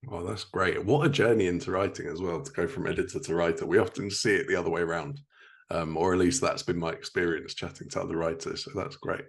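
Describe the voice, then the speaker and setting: Guy hosting a podcast, really low gravelly voice, asking questions conversationally. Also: fairly even intonation, fast talker. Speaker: podcast host interviewer. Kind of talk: conversational interviewing.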